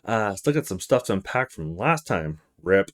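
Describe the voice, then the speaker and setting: A man playing a musing young male student, animated pitch. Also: normal speed. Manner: casual inner monologue with short musing fragments.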